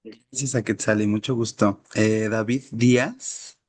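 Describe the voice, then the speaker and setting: Slow, brief workshop moderator man. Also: on the low side, steady tone, slightly rough. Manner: brief, slow, thanking each speaker. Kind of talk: moderating introductions. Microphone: video call.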